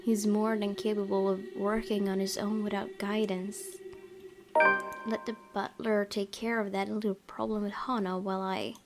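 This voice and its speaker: A woman hosting a gaming video, reading visual novel narration aloud in an expressive storytelling way. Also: mid-range voice, normal speed, music in the background. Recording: clear background noise.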